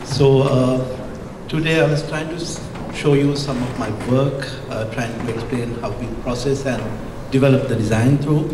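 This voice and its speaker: A middle-aged man from Sri Lanka with a Sri Lankan accent, giving an architecture lecture into a handheld mic, halting and full of fillers. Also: medium pitch, steady tone. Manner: hesitant, searching for words, frequent fillers. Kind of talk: presentation with slides. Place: lecture hall, indoors. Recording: echoey hall with background hum.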